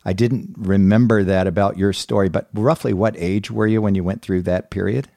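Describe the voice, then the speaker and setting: A man's voice: lowish voice, fairly even intonation, normal speed, vocal fry, mellow, dry recording, studio quality. A middle-aged man hosting an interview podcast. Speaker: podcast host.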